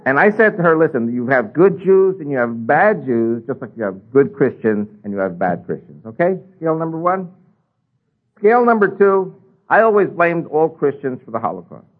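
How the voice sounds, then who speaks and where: A man's voice: medium pitch, narrow, tinny audio. An older man with an American accent, a native Spanish speaker, preaching a sermon and telling his own story, with frequent ums and uhs. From United States.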